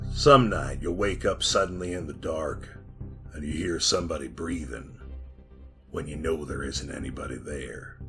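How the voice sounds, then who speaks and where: An actor playing a sinister, haunted survivor who serves an underground power, telling his tale deliberately in a retro radio drama. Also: sits low, heavily acted. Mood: quietly menacing. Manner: ominous, deliberate storytelling.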